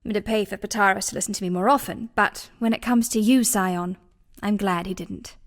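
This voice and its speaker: An actress playing a blunt, sardonic relic hunter in a fantasy video game, teasing and plain-spoken. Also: female voice, mid-range voice, steady tone, moderate pace, close to an everyday voice. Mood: warm, admiring. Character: sardonic, blunt woman relic hunter, affectionate with her lover.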